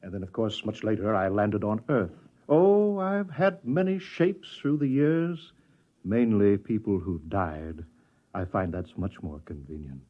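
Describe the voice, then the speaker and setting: A man's voice: on the low side, animated, a little slow. An actor playing a genial, sly alien posing as a courtly, talkative old man in a 1950s radio drama. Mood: smooth, confiding. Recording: dull old-time radio recording.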